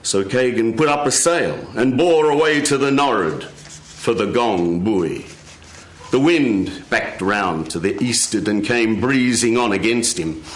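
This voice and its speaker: A man telling a folk tale live in a measured way, with dramatic pauses. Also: mid-range voice, a bit bright, echoey room. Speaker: storyteller. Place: radio studio with live audience.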